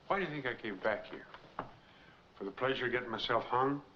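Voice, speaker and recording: A man's voice: medium pitch, fairly quick, close to an everyday voice. A middle-aged American man playing a weary, earnest fugitive wronged by the law, in 1940s film noir style. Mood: bitter, insistent. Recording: dull, noisy 1940s film soundtrack.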